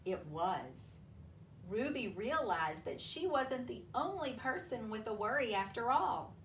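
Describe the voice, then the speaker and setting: A woman reading a children's storybook aloud to families, with expressive, deliberate delivery and drawn-out emphasis. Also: fairly high pitch. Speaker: school superintendent reading a picture book aloud to families. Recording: roomy, distant mic with faint noise.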